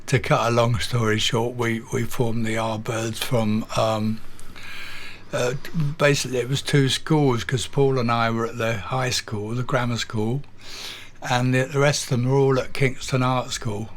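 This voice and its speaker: Older English man, interview guest; halting, filler-heavy storyteller. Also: medium pitch, level tone. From England. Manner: halting, with many fillers and repeated words. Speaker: guest, drummer.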